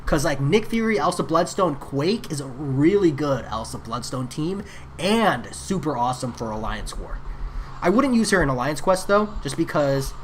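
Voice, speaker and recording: Guy streaming a phone game, chatty w/ viewers, bouncy pitch, little bit of hiss behind. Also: animated, moderate pace. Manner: chatty, answers chat viewers. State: voice getting worn. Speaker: gaming streamer. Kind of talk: casual live commentary while playing.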